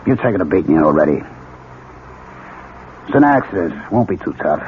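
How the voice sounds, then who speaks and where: An actor playing an assured, plain-spoken, paternal police lieutenant in a 1970s radio drama. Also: male voice, deep voice, very animated, moderate pace. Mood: gentle, reassuring. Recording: dull, noisy old radio recording.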